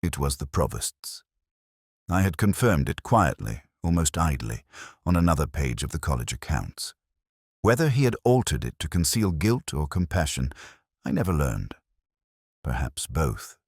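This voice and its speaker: A man playing a melancholy, world-weary English police inspector, narrating his own story in a measured, literary, reflective way. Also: very deep voice, very animated, husky, no echo, studio quality, heavily acted. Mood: reflective, resigned. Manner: measured, brooding, literary delivery. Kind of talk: scripted first-person narration, literary detective drama.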